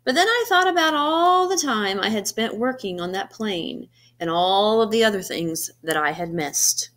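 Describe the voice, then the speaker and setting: A woman voicing an earnest, anxious yet determined egg in an expressive picture-book read-aloud, with dramatic emphasis and pauses. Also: mid-range voice, voice pushed up, close to an everyday voice. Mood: nervous, determined.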